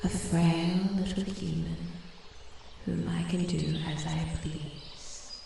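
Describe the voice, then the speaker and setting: A woman voicing an ancient female forest spirit in soft-spoken ASMR roleplay, breathy and often whispering, formal, intrigued and quietly menacing. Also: on the low side, full character voice. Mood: musing, condescending. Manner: slow, deliberate, archly formal. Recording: echoey, with steady ambient forest noise. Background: forest ambience, birds and animal sounds.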